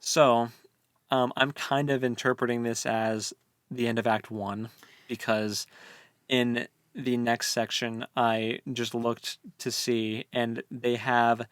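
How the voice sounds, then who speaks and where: A man's voice: mid-range voice, flat delivery, slow, a bit bright, clean tone, dry recording, clean studio sound. A man co-hosting a book discussion in a casual chat style, thinking aloud in a hesitant way. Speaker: podcast co-host.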